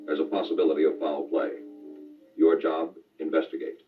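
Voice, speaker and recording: A man playing a grave, deliberate announcer introducing a 1950s-style radio crime drama, muffled and distant with music behind. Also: extremely low pitch, level tone. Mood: solemn. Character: solemn male crime show announcer.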